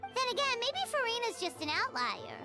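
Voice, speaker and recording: A squeaky little female companion sprite from a video game, chirps away candidly. The voice is extremely high and childlike, with lively pitch swings. Loud music runs underneath. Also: normal speed. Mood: curious, candid. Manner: chirpy, speaks of herself in third person.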